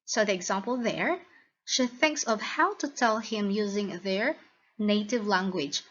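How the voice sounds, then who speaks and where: A woman with a Filipino accent narrating a scripted lesson as a teacher, in a deliberate, instructional manner. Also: mid-range voice. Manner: deliberate, instructional, checks with listeners. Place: recorded voice-over for slide lesson. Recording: dull, lo-fi voice recording.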